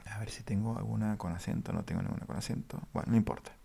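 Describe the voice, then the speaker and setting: A man teaching a database lesson over a screen share, speaking haltingly with many fillers. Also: on the low side, vocal fry, warm tone, slightly rough. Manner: halting, many fillers, addresses viewers formally. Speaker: database instructor.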